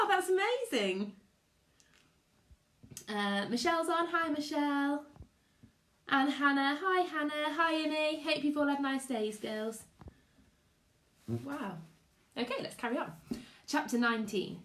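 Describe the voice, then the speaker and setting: Lady teacher reading a kids book live, expressive, echoey room. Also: sits high, unhurried, pauses often. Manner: expressive storytelling with character voices. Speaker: teacher reading to pupils online. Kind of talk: live read-aloud of a children's novel with chat to listeners.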